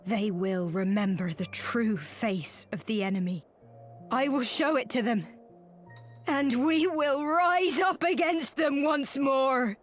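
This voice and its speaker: Female rebel agitator, impassioned, theatrical, very high voice, deliberate pace, fantasy game. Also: faint music behind. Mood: grim resolve. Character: fervent vengeful female rebel agitator rallying commoners against nobles. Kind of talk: dramatic video game voice acting, impassioned oratory.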